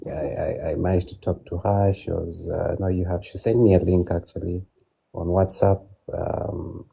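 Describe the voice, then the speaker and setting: A man's voice: deep voice, creaky, warm tone, a little hiss or hum. A man from Zambia calling into a livestream to share his story, hesitant and full of fillers and restarts. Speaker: caller sharing his story. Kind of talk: livestream call-in testimony.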